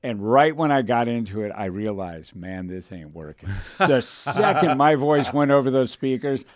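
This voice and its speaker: A man in his forties hosting a radio talk show, his voice sitting in a middle pitch. He tells stories in a lively way and slips into voices when he quotes people. The studio sound is dry and close to the mic. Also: moderate pace, dry recording. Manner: animated storyteller, puts on voices for quotes. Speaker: radio host. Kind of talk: casual radio show chat. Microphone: studio microphone.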